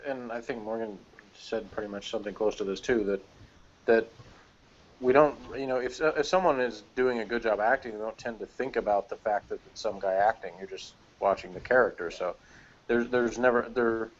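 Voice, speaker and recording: A man with a fairly low voice gives his opinions in a casual review conversation. He talks in a measured, rambling way, often hesitating as he works out a point. The sound is muffled and lo-fi, like an online call. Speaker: guest co-reviewer.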